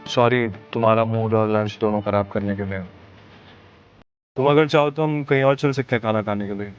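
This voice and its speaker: An actor playing a guarded, evasive young hero in an audio drama, in a conversational manner. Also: male voice, on the low side, fairly even intonation, moderate pace, smooth, lo-fi sound, soft background music, close to an everyday voice. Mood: apologetic.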